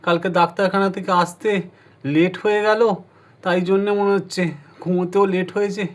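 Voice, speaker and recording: A man's voice: sits high, little pitch movement, moderate pace, lightly acted. A man voicing a whiny husband groaning from an upset stomach in a doll story. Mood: pained, whining. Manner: whiny, repeats words hurriedly. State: groaning in pain. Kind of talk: amateur doll-story voice acting.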